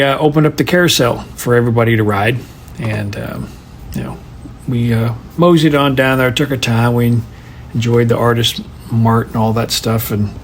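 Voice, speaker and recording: Male guest contributor, average register, relaxed anecdote telling, slight noise in the background. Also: mid-range voice. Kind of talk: casual personal storytelling.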